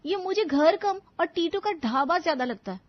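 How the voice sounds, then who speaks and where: Casting: woman playing young cartoon daughter-in-law, very high voice, exasperated, sarcastic, sharp-tongued; slightly muffled recording. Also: animated, moderate pace, dry recording. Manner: complaining, emphatic, sarcastic. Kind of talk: animated family story acting.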